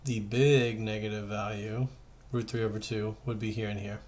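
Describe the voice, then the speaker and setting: A man's voice: fairly low pitch, fairly even intonation, normal speed, a little mellow. A man giving an informal math lesson as a teacher, talking conversationally and thinking aloud as he works through steps. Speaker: high school math teacher.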